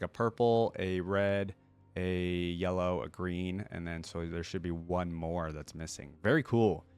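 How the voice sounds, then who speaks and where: A man's voice: fairly low pitch, smooth, no echo. A man giving casual reaction commentary as host, thinking aloud in an informal way.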